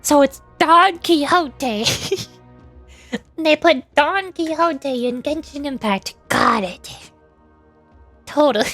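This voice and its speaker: A woman's voice: sits high, takes it slowly, fairly bright tone, soft background music. A woman streaming a game, chatting casually and reading lore aloud in a giggly, easily puzzled, self-deprecating manner.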